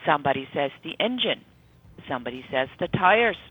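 A woman's voice: low-pitched, lively intonation, brisk, breathy edge, phone-quality sound. A woman speaking as an interview guest, explaining her ideas conversationally as an author and corporate trainer, in band-limited sound.

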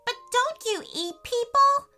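A woman's voice: high voice, big pitch swings, measured pace, bright, forceful tone, faint music behind, voice pushed up, exaggerated voice. A woman voicing a timid, curious little boy in a children's bedtime story, tentative and earnest. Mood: startled.

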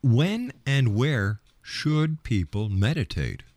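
A man hosting a radio interview, putting questions in halting, deliberate phrases. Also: mid-range voice, very animated, darkish tone, no room sound.

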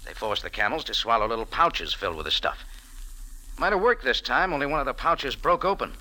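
A man's voice: mid-range voice, very animated, fairly quick, pushed and bright, full character voice, poor audio. A man playing a tough, wry cafe owner and sleuth in a 1940s radio drama, speaking in a clipped, sardonic, hard-boiled way. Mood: knowing, cool. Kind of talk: 1940s radio detective drama acting and narration. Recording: vintage 1940s radio recording with music.

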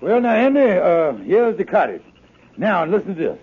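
An actor playing a scheming, blustering landlord with folksy, ungrammatical speech in an old radio comedy. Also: male voice, high-pitched, sing-song, normal speed, slightly rough, heavily acted. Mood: conspiratorial. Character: scheming, wheedling male con-man landlord in a radio comedy. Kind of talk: 1950s radio comedy acting. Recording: tinny, noisy old radio, studio audience.

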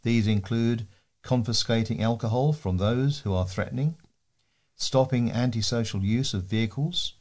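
A man's voice: mid-range voice, normal speed, darkish tone. A man reading local news aloud as a volunteer newsreader.

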